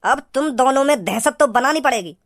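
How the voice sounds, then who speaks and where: A performer voicing a menacing, scheming male cartoon gangster, speaking in deliberate, boastful threats. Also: high-pitched, lively intonation, a bit bright. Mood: threatening. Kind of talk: cartoon villain dialogue. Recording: music behind the dialogue.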